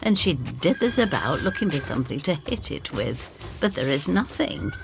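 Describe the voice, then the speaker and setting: A woman reading a dramatic first-person story in an expressive, theatrical way. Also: sits low, normal speed, gravelly, background noise. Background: music.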